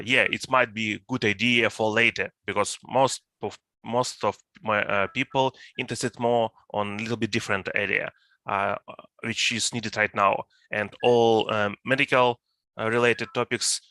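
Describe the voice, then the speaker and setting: A man with a Ukrainian accent, speaking English as a second language, talking haltingly with many fillers on a video call. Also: on the low side, fairly even intonation, sharp tone, no echo. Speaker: volunteer.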